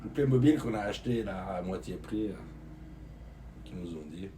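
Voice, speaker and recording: A man's voice: fairly low pitch, moderate pace, warm tone, a little hiss or hum. A man, joking and rambling with lots of fillers as co-host in a casual live-stream chat.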